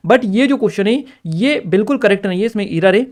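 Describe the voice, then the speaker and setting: Casting: male exam-prep teacher, high-pitched voice, instructive delivery that alternates reading and explaining. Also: lively intonation, brisk. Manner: instructive, repeats points and addresses students directly. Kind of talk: explanatory exam review lecture, partly read out.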